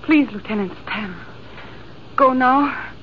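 An actress playing a gentle, anxious young woman in a 1940s radio drama, soft and pleading, on a dull, hissy old recording. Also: sits high, takes it slowly. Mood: firm.

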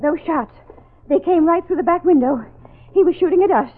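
An actress playing a prim, stubborn, imperious middle-aged schoolteacher in a 1940s radio mystery, haughty and precise. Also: female voice, very high voice, a little fast. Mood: puzzled. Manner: haughty, precise, matter-of-fact. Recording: noisy, tinny old radio recording.

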